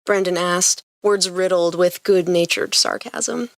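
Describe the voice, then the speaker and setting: Lower-pitched woman narrating fiction. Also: steady tone, unhurried, vocal fry, no echo.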